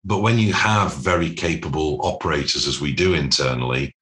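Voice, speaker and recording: A man being interviewed as a business executive, explaining things in a measured, articulate corporate manner. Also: very deep voice, fairly bright tone. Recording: roomy, echoing sound.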